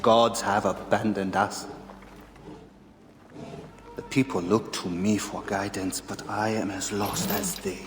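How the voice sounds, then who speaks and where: An actor playing a grieving high priest of ancient Egypt in a video game, solemn and halting. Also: male voice, little pitch movement. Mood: weary despair. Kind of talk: dramatic video game acting. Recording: long reverberant hall, faint music behind.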